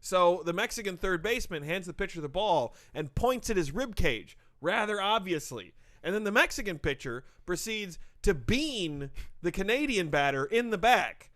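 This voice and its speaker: High, lively-voiced man hosting. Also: moderate pace. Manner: laughs often, talks through updates with asides. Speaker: podcast host giving sports updates. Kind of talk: casual comedy podcast chat.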